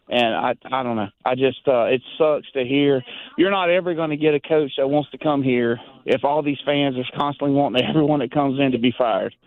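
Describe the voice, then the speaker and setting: A man calling in to sports radio, insistent and frustrated with other fans. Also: medium pitch. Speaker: caller. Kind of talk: phone-in sports rant. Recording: dry phone line.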